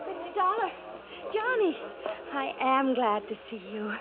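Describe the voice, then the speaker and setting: A woman's voice: sits high, sing-song, a little slow, a crowd in the background. A woman playing a coy, seductive society wife in golden age radio drama. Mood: gushing, flirtatious. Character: flirtatious, alluring society wife with hidden motives. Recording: old radio recording, phone-like band. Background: music.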